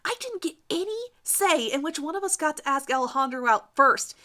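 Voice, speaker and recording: A woman voicing an aggressive, jealous high school girl, loud and sneering, in a dramatic story reading. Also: animated, moderate pace. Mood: angry, sneering.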